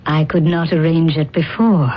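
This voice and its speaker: A woman's voice: low voice, fairly even intonation, moderate pace. An actress playing a resolute alien woman in love, in a radio drama, speaking earnestly and formally. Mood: guarded, urgent. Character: resolute alien woman, the captain's secret beloved. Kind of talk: old-time radio drama acting. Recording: dull, muffled radio drama recording.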